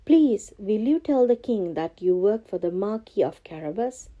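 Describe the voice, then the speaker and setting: A woman's voice: mid-range voice, lively intonation, moderate pace, warm tone, dull audio, natural voice. A woman voicing a clever, bold male cat from a storybook, courteous and coaxing in a theatrical way. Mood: sly.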